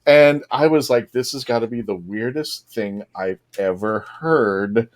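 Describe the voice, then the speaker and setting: A man's voice: mid-range voice, a little slow. A man telling stories casually as a podcast panelist. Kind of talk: casual storytelling in panel chat.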